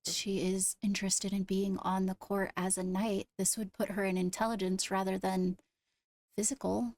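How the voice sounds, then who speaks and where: A woman speaking in a measured, deliberate way with formal wording, improvising in-character roleplay dialogue. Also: mid-range voice, little pitch movement, darkish tone, dry recording. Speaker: roleplayer in a Minecraft court drama.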